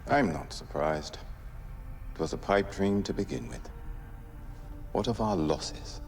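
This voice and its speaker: A man's voice: sits low, expressive, a little slow, creaky, darkish tone, faint music behind, heavily acted. A man playing a cold, secretive mastermind in a video game, terse, dismissive and commanding, with long reverb. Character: cold, secretive male mastermind giving orders. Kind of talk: scripted video game dialogue.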